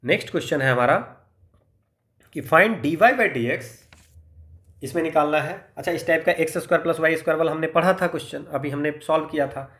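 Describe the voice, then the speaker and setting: A man's voice: fairly high pitch, fairly quick, fairly bright tone. A man with an Indian accent teaching maths step by step, mixing Hindi and English and often answering his own questions. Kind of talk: exam problem-solving lesson, explaining step by step.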